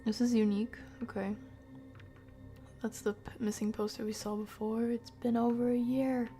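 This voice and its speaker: A woman streamer giving casual, chatty horror game commentary, muttering to herself in a breathy voice that often drops to a whisper. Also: a little high, little pitch movement, moderate pace, dark tone, soft background music.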